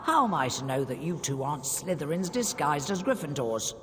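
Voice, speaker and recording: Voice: medium pitch, sing-song, fairly quick, natural voice. An actor playing a haughty, suspicious talking portrait that guards a door in a video game, indignant and imperious. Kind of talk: video game cutscene acting. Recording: reverberant, with clear background noise.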